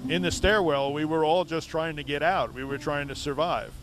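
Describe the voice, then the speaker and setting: A man in his fifties, calmly and reflectively talking in an interview and asking questions. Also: fairly high pitch, normal speed, music in the background, rough recording. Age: 50s. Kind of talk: recorded interview.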